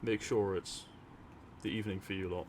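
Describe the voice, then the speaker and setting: A man from Europe talking in an unscripted, musing, unhurried ASMR ramble to his listeners. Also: low voice, fairly even intonation. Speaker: audio roleplay creator talking to listeners. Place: by an open window at a desk. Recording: clear city noise with distant traffic. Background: city ambience, vehicles, sirens.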